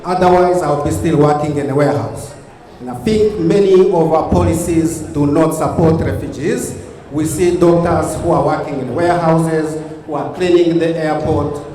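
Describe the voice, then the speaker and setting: A man's voice: fairly high pitch, steady tone, moderate pace, warm tone. Earnest Ugandan-accented man in an echoey hall. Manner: earnest, repetitive phrasing. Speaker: keynote speaker. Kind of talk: gala speech. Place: banquet hall. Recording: echoey hall with long reverb.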